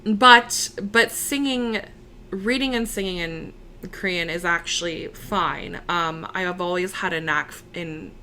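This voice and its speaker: Slow, bright-toned young woman chatting. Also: lowish voice, a little hiss or hum. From United States. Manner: relaxed and chatty, many fillers, laughs easily. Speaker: interview guest, opera singer. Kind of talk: casual conversational interview.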